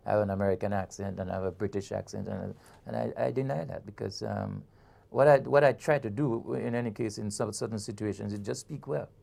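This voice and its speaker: A man's voice: lowish voice, steady tone, brisk, vocal fry, hardly any pauses, darkish tone. A man, a poet from Grenada, talks through his work in an interview, often repeating words and restarting before he lands a point. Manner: explains with frequent repetitions and restarts. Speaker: poet and author. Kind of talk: conversational interview.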